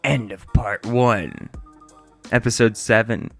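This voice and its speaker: A man's voice: fairly low pitch, slow pace, faint music behind. A man narrating a scripted review as the reviewer.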